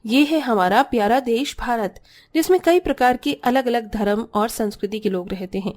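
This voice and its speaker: High-pitched woman narrating a cartoon story. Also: moderate pace, a little mellow.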